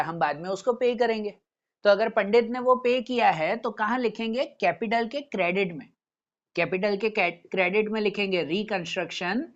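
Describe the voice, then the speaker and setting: A male teacher explaining a lesson step by step, repeating points and checking understanding with tag questions. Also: high-pitched. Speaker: accountancy teacher. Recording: dull, muffled lo-fi audio.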